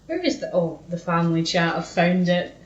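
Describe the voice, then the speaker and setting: A woman's voice: lowish voice, big pitch swings, moderate pace. A woman co-hosting a podcast, chatting casually and reading out old messages in a sweary, self-mocking way. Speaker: podcast co-host. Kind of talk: casual chat and dramatic reading of old arguments. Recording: dull, echoey, far from the mic.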